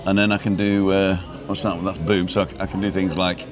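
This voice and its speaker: A man's voice: deep voice, flat delivery, fast talker, over music. An English man in his sixties hosting a casual live stream outdoors, chatting with lots of fillers. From England. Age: 60s. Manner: chatty, rambling, with frequent uh fillers. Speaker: host of a live walking stream. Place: outdoors on a busy street. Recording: loud crowd noise.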